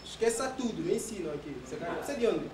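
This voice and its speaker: A man's voice: sits high, animated, distant mic, crowd noise. A man from Angola with an Angolan Portuguese accent, teasing friends in casual banter. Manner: teasing, quick, playful. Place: large living room, indoors. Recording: echoey room, off-mic, noisy.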